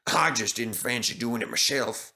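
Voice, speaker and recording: Modest little tram engine guy, man voicing him, low-key and dry. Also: normal speed, bright, forceful tone. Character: small steady tram engine, modest male.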